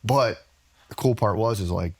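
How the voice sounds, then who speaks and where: A man's voice: lowish voice, moderate pace, slightly rough. American man telling stories in casual slang. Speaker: podcast guest, comedian. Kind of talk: casual chat, anecdotal storytelling.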